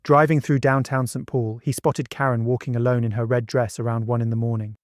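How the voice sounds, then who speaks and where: A man reading scripted true-crime documentary narration in a measured, dramatic storytelling manner. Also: mid-range voice, flat delivery, no echo, studio quality. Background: background music.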